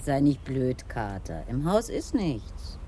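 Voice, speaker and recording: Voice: medium pitch, sing-song, slow, warm tone, slight background noise. An actor playing a suspect's concerned, plain-spoken partner in a radio crime drama. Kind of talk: 1980s German radio play acting.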